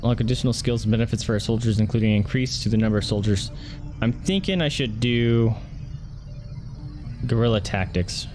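A man's voice: on the low side, fairly quick. A man streaming a video game, casually commentating with wry asides to the characters. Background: game music.

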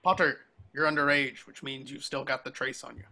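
A man playing a gruff, impatient old wizard war veteran who barks curt orders in a table read over a video call. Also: medium pitch, steady tone, brisk, pushed and bright. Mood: brusque, commanding. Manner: barking, commanding, curt.

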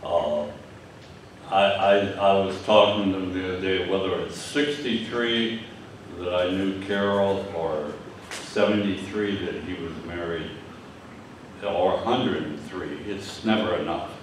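An older man with a Michigan accent preaching a sermon at a lectern, speaking haltingly with many fillers and repeated words. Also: low-pitched, background noise. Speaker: pastor. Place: church hall with stage and screen. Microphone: lectern mic from a distance. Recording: echoey hall, distant mic.

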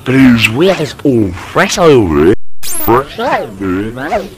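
A young man chatting informally and excitedly in a casual exploration vlog, in a blokey, exclamatory way. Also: medium pitch, measured pace, music in the background. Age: young adult. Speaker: vlogger exploring an abandoned site. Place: abandoned buildings and grounds at night.

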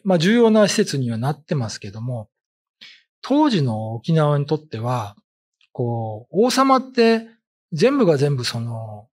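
Lively, slightly husky middle-aged man. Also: on the high side. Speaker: ghost story writer and commentator. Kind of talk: informal explanatory commentary. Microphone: desk condenser mic with pop filter.

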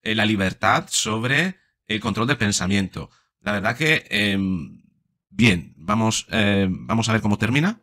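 A man's voice: lowish voice, expressive, measured pace, sharp tone. A man in his forties with a Spanish accent from Spain, analysing a speech in an explanatory way with frequent question tags. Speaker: commentator, psychologist. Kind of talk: casual speech analysis to camera. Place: small home studio room. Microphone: desk microphone up close.

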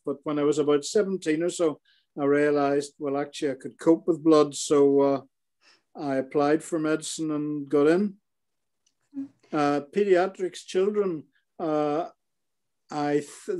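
Older man, Northern Irish accent, mellow tone, slightly high voice, hesitant with fillers, webcam call from a living room. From Northern Ireland. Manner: hesitant, many fillers and restarts. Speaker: retired paediatrician. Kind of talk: interview over video call. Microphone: laptop webcam video call.

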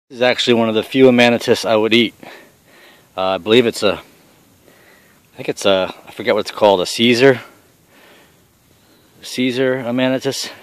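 Middle-aged man, low voice, blunt and salty running commentary on a woodland walk, handheld camera audio. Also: dry recording. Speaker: father showing mushrooms on a hike. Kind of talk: casual outdoor commentary. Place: forest trail outdoors.

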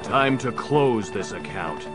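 An actor playing a stern, brooding masked crime-fighter in an audio drama, speaking in a terse, grave way. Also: male voice, lowish voice, lively intonation, slow, over music. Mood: resolute.

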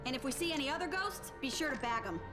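Feisty ghost hunter boss in a game, high swoopy voice, blunt. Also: female voice, lively intonation. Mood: commanding. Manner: brisk, blunt, commanding. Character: bossy, feisty woman leading a ghost-hunting team. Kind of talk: scripted game cutscene acting. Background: loud music.

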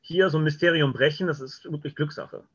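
Bright-voiced man on a dull call recording. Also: on the high side, fairly quick, slightly rough. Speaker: audio drama maker, interview guest. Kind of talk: casual conversational interview. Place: indoors at home, video call.

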